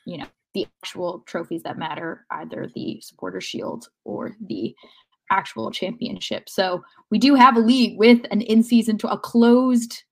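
Female sports podcast panelist, medium-pitched, thoughtful explainer who builds long analytical sentences. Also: fairly even intonation, normal speed, creaky, poor audio. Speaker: soccer podcast co-host.

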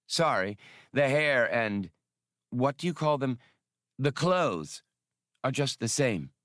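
A man takes on a female water creature, concerned and puzzled by humans. The lines are hesitant and questioning, lifted in pitch and lilting, as in an adventure audiobook. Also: very animated, slow, a bit bright, no room sound, studio quality.

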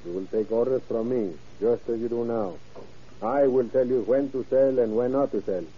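An actor playing a cold, scheming, commanding Mexican general in old-time radio drama style. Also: male voice, mid-range voice, normal speed, slightly rough, close to an everyday voice. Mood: calculating, commanding. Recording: muffled old radio recording.

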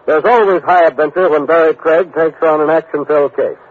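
A man's voice: fairly high pitch, a little fast, husky. An American man announcing in a formal, dramatic 1950s radio style, with emphatic scripted delivery. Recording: tinny old radio broadcast recording.

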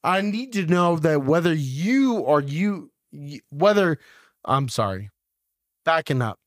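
Twenties dude podcast host, rambly, kinda high voice, dry close mic. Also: male voice, big pitch swings, takes it slowly, no echo. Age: 20s. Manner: rambling, restarts a lot. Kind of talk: casual chat.

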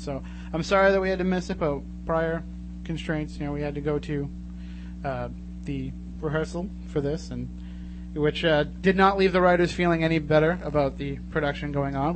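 A man's voice: a little high, fairly even intonation, brisk. A man hosting a live talk radio show in a casual, joking, chatty way. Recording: clearly noisy radio studio with hum.

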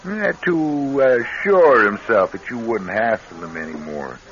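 An actor playing a wily, smugly amused private detective in a radio crime drama, with a casual, folksy, needling delivery. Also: male voice, medium pitch, expressive, unhurried, fairly bright tone. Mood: breezy, sly. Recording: dull, hissy old radio recording.

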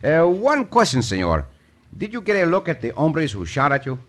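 An actor playing a gallant, self-assured Mexican adventurer in a radio western, with a Mexican accent and formal, courteous speech. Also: male voice, a little high, huge pitch swings, moderate pace, a bit bright, heavily acted. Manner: courteous, commanding, formal English. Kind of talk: old-time radio western acting.

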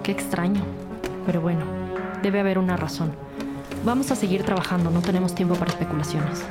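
An actress playing a brisk, firm police detective in a scripted audio drama. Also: female voice, on the low side, steady tone, over loud music, natural voice.

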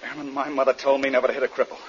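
An actor playing a cocky, tough captain in an old-time radio drama, giving orders and taunts. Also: male voice, medium pitch, level tone, very fast, slightly rough, natural voice. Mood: taunting, commanding. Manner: commanding, taunting. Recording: dull, noisy vintage radio recording.

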